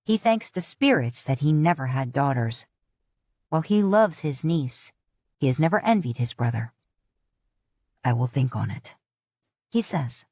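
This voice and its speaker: A woman reading a fanfiction audiobook in a steady, measured storytelling manner. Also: sits low, no echo, studio quality. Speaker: audiobook narrator.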